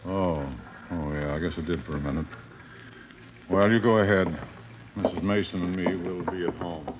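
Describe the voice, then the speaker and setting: A man's voice: sits low, moderate pace, lightly acted. An actor playing an irritable, blunt husband and father in a 1950s radio drama, grumbling his lines. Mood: subdued. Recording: muffled old radio, faint noise.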